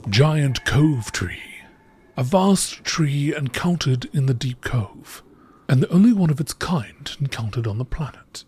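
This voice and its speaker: A man reading scripted text aloud in a measured nature documentary style, as an amateur narrator. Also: medium pitch, animated, darkish tone, slight background noise.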